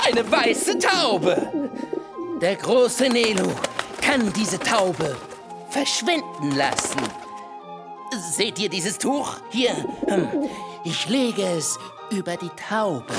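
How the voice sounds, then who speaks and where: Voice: big pitch swings, extremely slow, over loud music, exaggerated voice. Eine Hörspielstimme spielt einen unheimlichen, verzweifelten Clown, der übertrieben als Zauberkünstler auftritt und kichert. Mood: fawning, eerie. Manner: exaggerated showman, giggling, shrill outbursts. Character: creepy, desperate clown magician, high cackling and volatile. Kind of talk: radio play acting.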